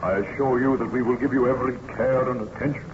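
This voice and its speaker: An actor playing an authoritative, skeptical but kindly ship's captain, with formal, commanding radio drama delivery. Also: male voice, medium pitch, fairly even intonation, fast, close to an everyday voice. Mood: kindly authority. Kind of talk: old-time radio drama acting. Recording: muffled, noisy old radio recording.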